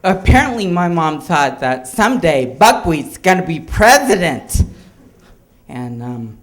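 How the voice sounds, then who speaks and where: A male stand-up comedian from Hawaii telling jokes on stage in a halting way, with restarts and chuckles. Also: a little high, big pitch swings, a bit bright.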